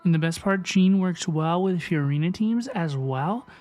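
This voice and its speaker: A woman's voice: fairly low pitch, expressive, a little slow, darkish tone. A woman livestreaming a game, chatting casually and effusively as she answers her viewers. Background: game music.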